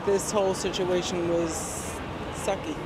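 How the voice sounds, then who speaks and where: A woman's voice: on the low side, fairly even intonation, a little slow. A woman traveler giving casual interview answers at an airport. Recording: clear airport background noise.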